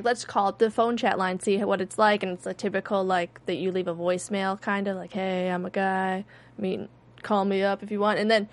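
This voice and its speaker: A woman co-hosting a podcast, chatting casually about a TV show with plenty of filler words. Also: mid-range voice. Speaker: podcast co-host.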